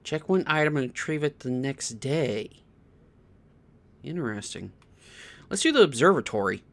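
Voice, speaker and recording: Male game streamer, mid-pitched, casual think-aloud delivery with muttered, repeated words. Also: lively intonation, moderate pace.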